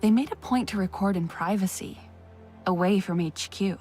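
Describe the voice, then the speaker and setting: An actress voices a young female alien investigator in a game. She speaks in a measured, guarded way at a middle pitch. Her questions are curious and careful, with soft music behind her. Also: natural voice. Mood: probing. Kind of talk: video game dialogue acting.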